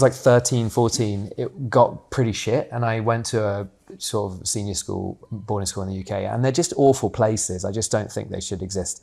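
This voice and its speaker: A low-pitched man with a gravelly, creaky edge to his voice. He is a guest in a conversational interview, in his twenties and originally from Hong Kong, talking candidly and with animation. He leans on casual fillers and the odd swear word as he reflects. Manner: candid and animated, frequent fillers like "like" and "you know", mild swearing. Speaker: guest, co-op founder.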